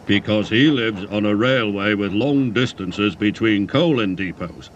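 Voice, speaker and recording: A man's voice: lowish voice, measured pace, muffled recording, slight background noise, close to an everyday voice. Man as a firm, reassuring railway boss.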